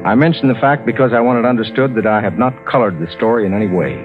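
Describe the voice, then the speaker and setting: An actor playing a rational, skeptical lawyer who narrates and argues in a measured, earnest way, in the style of mid-century radio drama. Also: male voice, medium pitch, lightly acted. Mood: grave, measured. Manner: measured, earnest storytelling, pressing in argument. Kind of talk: 1940s-50s radio drama acting and narration. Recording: tinny old radio recording, music behind.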